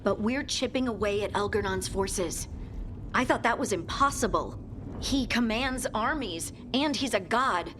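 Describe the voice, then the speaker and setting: A woman's voice: fairly high pitch, unhurried, noisy background, natural voice. An actress playing a loyal, determined female fighter in a fantasy game, sincere and plain-spoken. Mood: wry, worried. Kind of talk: scripted fantasy game dialogue.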